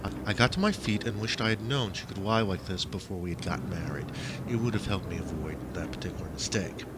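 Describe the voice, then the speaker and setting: A man's voice: low-pitched, fairly quick. A man reading a first-person story aloud as a dramatic audiobook narrator. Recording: faint noise behind the voice.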